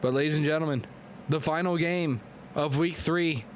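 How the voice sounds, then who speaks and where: Male stream host, high voice, excitable play-by-play caller, hoarse and congested. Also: slight background noise. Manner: excitable, hyped play-by-play with quick asides to chat. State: losing his voice, nose clogged. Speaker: league commentator and stream host. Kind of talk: live-streamed play-by-play commentary.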